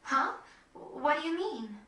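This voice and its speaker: Game role: soft-hearted young resistance woman, earnest, animated, bright, noisy distant recording. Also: sits high, takes it slowly, full character voice. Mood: concerned, questioning. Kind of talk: dramatic video game acting. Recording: roomy, distant, noisy screen capture.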